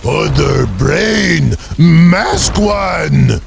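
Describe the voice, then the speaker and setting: Halting gravelly monster brute. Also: male voice, fairly high pitch, lively intonation, noisy background, full character voice. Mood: agitated. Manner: blunt, halting, broken speech. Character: slow-witted hulking monster man with broken grammar.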